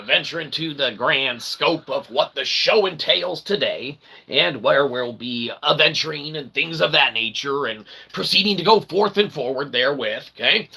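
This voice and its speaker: A man's voice: on the high side, gravelly, bright, forceful tone, slight background noise, rough recording. A man hosting a solo web show in a rambling comedic monologue, theatrical and goofy, with wordy mock-grandiose phrasing.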